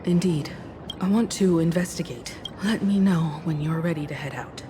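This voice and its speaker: An actress playing a solemn, regretful woman in a video game, speaking in a grave, deliberate way with pauses. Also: sits low, noisy background, close to an everyday voice. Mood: pensive. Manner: deliberate, pausing, somber. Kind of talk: video game character acting.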